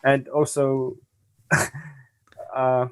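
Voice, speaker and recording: Slow, hesitant Czech-accented man. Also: mid-range voice, completely flat delivery, darkish tone, clear, resonant tone. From northern Czech Republic. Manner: hesitant, halting phrasing. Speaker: podcast guest, artist. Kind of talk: casual interview talk.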